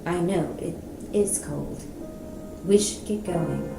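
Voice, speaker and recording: A woman's voice: on the low side, slow pace, gravelly, far from the mic, voice pushed down. A woman reading a fantasy novel aloud as a proud, ashamed young man, in a subdued, stiff manner. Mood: stiff, reluctant. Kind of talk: audiobook-style novel reading. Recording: roomy echo, music behind.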